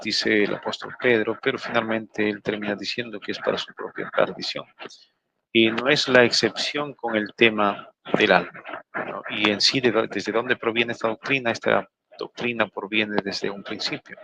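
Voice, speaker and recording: A low, gravelly man presents his side of a debate, pausing between phrases. There is clear noise behind him on the line. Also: bright, forceful tone, low-quality recording. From Peru. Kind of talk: online debate argument.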